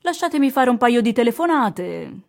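A woman's voice: on the high side, big pitch swings, normal speed, vocal fry. A woman voicing a resourceful, unflappable male Iraqi fixer in a radio reading, breezy and insistent. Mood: breezy, reassuring.

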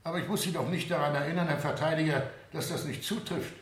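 An actor playing a stern, authoritative presiding judge in a courtroom radio drama, speaking formally and exactly. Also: male voice, a little high, steady tone, fast talker, close to an everyday voice. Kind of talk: 1970 German courtroom radio drama acting. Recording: echoey room, 1970 radio production.

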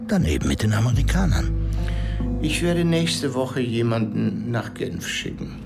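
A man playing a calculating, powerful political fixer in a radio drama, speaking in a measured way. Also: mid-range voice, animated, gravelly. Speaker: political operator. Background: music.

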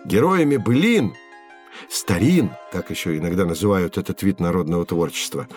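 Low-voiced patient teacher, a man. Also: expressive, normal speed, a little mellow, soft background music. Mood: patient, explanatory. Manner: explanatory, storytelling, clearly phrased. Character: patient, knowledgeable male teacher guiding two children. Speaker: teacher and narrator. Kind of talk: scripted educational narration for children.

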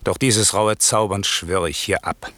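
Stern sorcerer lord, low-pitched, slow measured delivery, solemn, declamatory, classical radio drama verse. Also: male voice, steady tone, bright, forceful tone, no room sound. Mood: solemn, commanding. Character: stern, wronged sorcerer lord turning to forgiveness.

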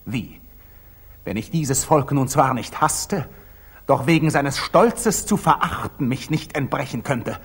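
An actor playing a proud, impetuous young knight in a 1940s German radio drama, with fervent, declamatory stage diction. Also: male voice, mid-range voice, animated, takes it slowly, breathy edge, heavily acted. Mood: indignant, fervent.

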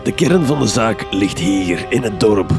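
An actor playing a pompous, self-assured Flemish detective in a comic gothic radio drama, with theatrical diction. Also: male voice, on the low side, animated, measured pace, music in the background. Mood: pompous deduction.

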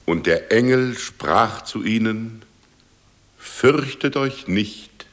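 An actor playing a solemn reciter of scripture in a radio play, declaiming the Christmas gospel. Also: male voice, on the low side, animated, drawn-out words, a bit bright, full character voice. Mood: solemn, proclaiming.

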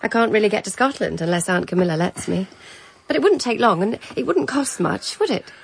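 A hesitant, prying companion. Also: female voice, medium pitch, expressive, fairly quick, creaky, breathy edge. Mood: curious. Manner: hesitant, probing. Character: timid companion longing to escape, prying. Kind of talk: radio drama acting.

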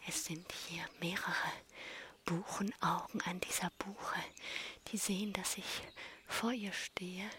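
A woman describing what she sees as she walks a forest trail, in a halting, observational way. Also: lowish voice, fairly even intonation, whispered. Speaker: poet or musician in an audio art piece. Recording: faint outdoor noise.

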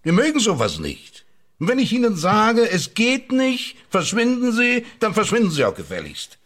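A man's voice: high-pitched, huge pitch swings, normal speed, a bit bright, exaggerated voice. An actor playing a hard-nosed, condescending newspaper publisher who threatens his employee in a German radio drama. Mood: indignant, threatening. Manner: condescending, domineering.

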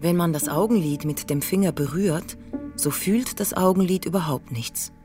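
A woman's voice: lowish voice, normal speed, mellow, lightly acted. A woman playing a sober narrator in a literary radio play, noting small details plainly and precisely. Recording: music under the voice. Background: music.

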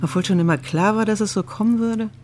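A woman's voice: mid-range voice, fast, loud music behind the voice, lightly acted. A woman playing an earnest, self-absorbed art therapist and patient who lectures insistently in an audio drama. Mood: insistent, solemn. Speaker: actor in a drama. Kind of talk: dialogue acting in an audio drama.

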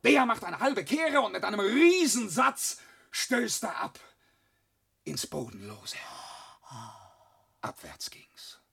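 A bragging young peasant in an old radio play, slow drawn-out delivery, raspy, loud then whispery. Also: male voice, medium pitch, exaggerated voice. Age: young adult. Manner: swaggering storyteller, swings from loud to hushed. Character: young boastful peasant son spinning wild tales. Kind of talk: classic radio play acting in verse.

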